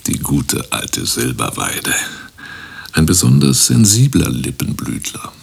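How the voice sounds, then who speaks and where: A man playing an eccentric, pompous guru-like professor in a radio play, lecturing with drawn-out emphasis. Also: a bass voice, huge pitch swings, vocal fry, husky, heavily acted. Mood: musing. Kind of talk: German radio play acting.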